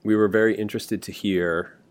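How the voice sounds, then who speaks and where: A man's voice: fairly low pitch, fairly even intonation, a little fast. A man putting a question to a guest as a podcast interviewer.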